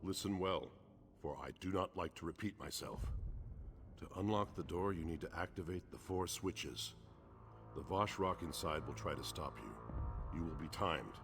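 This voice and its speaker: An actor voicing a stern, blunt orc trial master who gives commanding, menacing orders in a fantasy game. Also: male voice, deep voice, normal speed, soft background music. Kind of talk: scripted fantasy game acting.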